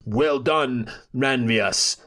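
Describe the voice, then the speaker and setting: A man's voice: medium pitch, drawn-out words, sharp tone, full character voice. A man voicing a shaken male adventurer who gasps his words and then sneers. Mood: shaken, sneering. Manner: gasping, shaky, sneering.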